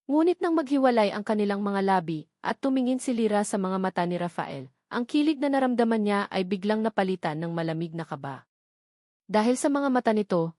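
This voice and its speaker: A Filipino woman reads a scripted love story as a dramatic narrator. Her pitch sits in the middle for a woman, and she paces phrases with deliberate pauses. The recording is very dry, with no room sound. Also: darkish tone, no echo.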